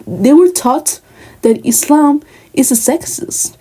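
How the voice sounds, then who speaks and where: Earnest young woman, mellow voice. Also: high-pitched, slow, poor audio. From Spain, Moroccan family. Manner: earnest, conversational, many fillers and asides. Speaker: vlogger. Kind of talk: personal talk to camera.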